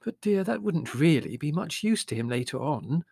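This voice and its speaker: Storybook mother, played by a man: middle-aged, lifted pitch, gentle, hesitant, soothing and a little vague. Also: big pitch swings, normal speed, gravelly, breathy edge, no echo, clean studio sound, full character voice. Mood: doubtful. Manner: hesitant, placating, mildly doubtful. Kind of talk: audiobook character voice.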